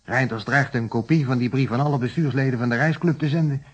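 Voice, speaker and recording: A cornered suspect in a radio drama, played by a man, explains himself apologetically in a mid-pitched, faintly husky voice. He is defensive and self-justifying. The old recording is muffled. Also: quick pace, close to an everyday voice. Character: cornered, defensive male blackmail victim under questioning. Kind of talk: radio play acting.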